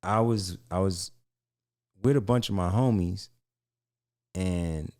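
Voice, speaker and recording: An American man hosting a podcast, telling a personal story in slangy, unhurried short phrases. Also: sits low, a little mellow. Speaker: podcast host. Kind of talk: casual storytelling chat.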